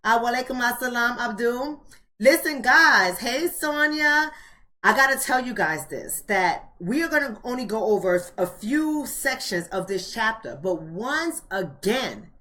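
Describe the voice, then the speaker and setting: A woman's voice: on the high side, lively intonation, unhurried, bright, forceful tone. An American woman of about forty hosting a casual livestream, speaking colloquially and straight to her viewers, repeating phrases for emphasis. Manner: colloquial, addresses viewers directly. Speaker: host of a live online book club.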